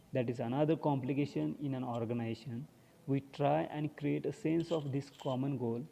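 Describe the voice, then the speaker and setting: Gravelly Indian man lecturing in a hall. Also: mid-range voice, warm tone, dull audio, a little hiss or hum. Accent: Indian English. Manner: explanatory, poses and answers his own questions. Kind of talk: university lecture.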